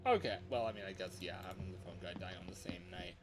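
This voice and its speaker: A man giving live, excitable gaming commentary as he plays, thinking aloud as he goes. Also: low-pitched, fast, faint music behind. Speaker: livestreamer playing a fangame.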